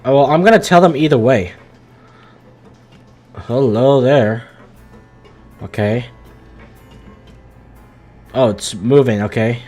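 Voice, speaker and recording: A man's voice: mid-range voice, takes it slowly, long pauses, darkish tone. A man live-streaming a game, chatting informally and reacting aloud in a joking way. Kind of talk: casual live-stream commentary. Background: game music.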